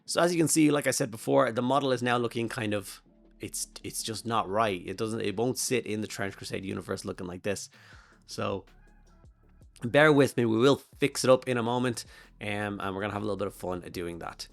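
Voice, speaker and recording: A hoarse Irish man with a flu-worn voice talks casually through a miniature painting tutorial, rambling and thinking aloud with plenty of fillers. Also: on the low side, brisk, no echo, faint music behind. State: hoarse from a flu and throat infection. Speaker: miniature painting channel host.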